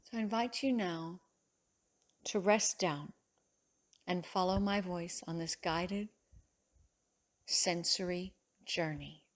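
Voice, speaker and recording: Slow, soothing woman guiding meditation. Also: lowish voice. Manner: soothing, measured, with long pauses. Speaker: yoga teacher guiding meditation. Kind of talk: guided relaxation meditation.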